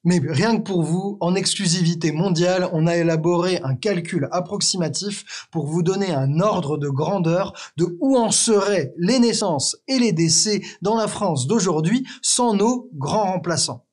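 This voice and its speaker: A man hosting an educational video, narrating a script in a clear, teacherly way with the odd wry aside to the viewer. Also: high-pitched, unhurried, hardly any pauses, darkish tone. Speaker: explainer video host.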